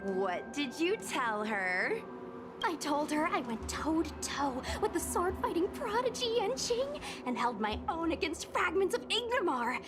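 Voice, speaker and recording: A woman's voice: very high-pitched, big pitch swings, measured pace, over music, heavily acted. A woman voicing a peppy, confident young ghost hunter in a video game, chatty and breezy. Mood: earnest, excited. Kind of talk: video game cutscene acting.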